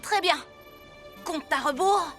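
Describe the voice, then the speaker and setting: Kid superhero leader: small boy, very high voice, earnest orders, cartoon dub with music. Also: slow pace, a bit bright, full character voice. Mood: determined. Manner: commanding, earnest. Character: resolute six-year-old boy superhero team leader. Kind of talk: French animated cartoon dub. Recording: music behind the voice.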